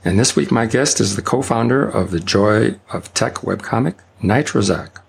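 A man hosting a tech podcast and interviewing a guest, speaking haltingly with frequent "uh"s and restarts. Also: lowish voice, vocal fry, slightly rough. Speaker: podcast host.

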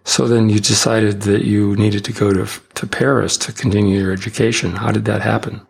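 Man, podcast host, deep fry in voice, husky, measured formal questions. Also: little pitch movement. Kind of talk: interview hosting.

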